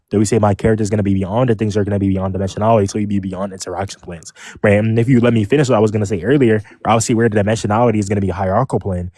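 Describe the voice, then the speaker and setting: Fast-talking low-voiced man debating. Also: a little mellow, no room sound. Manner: rapid, jargon-heavy arguing, talks over interruptions. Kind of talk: casual online voice-chat debate.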